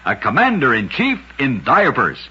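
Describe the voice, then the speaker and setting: A man's voice: mid-range voice, huge pitch swings, normal speed. A man hosting a vintage radio program, telling scripted short stories with a dramatic storyteller's delivery. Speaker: radio host narrating oddity stories. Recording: dull lo-fi vintage radio recording.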